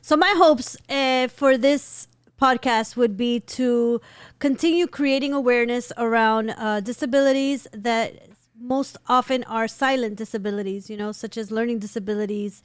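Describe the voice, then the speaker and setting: A woman's voice: fairly high pitch, moderate pace, clear, resonant tone, dry recording. A middle-aged mother co-hosting a family podcast at home, speaking articulately into a close studio mic. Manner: teasing, then earnest and articulate. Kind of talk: casual family podcast talk. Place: home room. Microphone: studio mic on boom.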